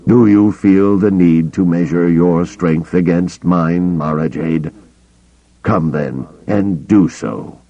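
Old male Jedi villain, acted by a male narrator: low, mellow, deliberate, pompous and condescending menace for a space-opera audiobook. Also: muffled recording, exaggerated voice. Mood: taunting.

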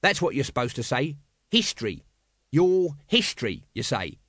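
A man's voice: medium pitch, big pitch swings, moderate pace, fairly bright tone, slightly rough, dry recording, studio quality. A man voicing a small, sly, crude watchman who talks in a colloquial, argumentative way, in an audiobook story. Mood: pedantic.

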